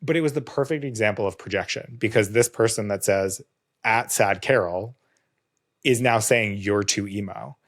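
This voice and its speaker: Podcast host, male, a bit of vocal fry, low-ish, earnest and talkative. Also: moderate pace. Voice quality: slightly hoarse, vocal fry. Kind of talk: casual conversation.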